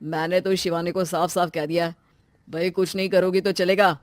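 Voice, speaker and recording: A woman's voice: fairly low pitch, flat delivery, normal speed, no room sound, natural voice. A woman voicing a frank, confident young married man in a family moral story, casual and matter-of-fact.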